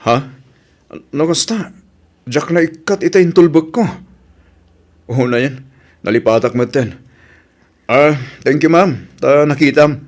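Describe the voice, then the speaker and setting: A man acting in a family drama as an evasive young fiancé, speaking conversationally and hesitantly with a husky voice. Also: a little high, a little slow, pauses often, natural voice. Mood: startled, polite. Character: evasive young man engaged to a pregnant woman.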